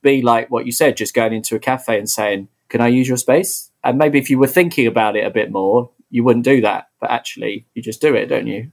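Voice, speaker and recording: A man hosting a podcast interview in a friendly way, often closing his points with a tag question. His voice sits at a middle pitch. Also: brisk, vocal fry, hardly any pauses.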